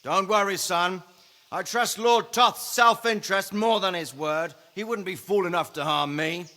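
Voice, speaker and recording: Composed, high-voiced noble father. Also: measured pace, bright, forceful tone, in a large hall. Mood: calm, resolute. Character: composed, self-sacrificing noble father, a knightly lord. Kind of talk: video game cutscene acting, medieval drama.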